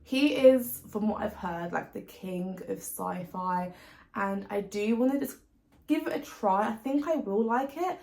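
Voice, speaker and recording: A woman's voice: medium pitch, unhurried, dark tone, reverberant. A British woman talking casually and chattily as a book vlogger, informal and given to emphatic repetition. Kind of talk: casual chat to camera about reading plans.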